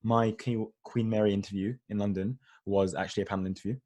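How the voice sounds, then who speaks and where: A man's voice: fairly low pitch, steady tone, quick pace, a little mellow. A young man, a dental student from Egypt, giving a casual online webinar with many fillers over a computer mic. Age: young adult. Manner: chatty and informal, many fillers like "like" and "you know". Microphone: computer mic on a video call.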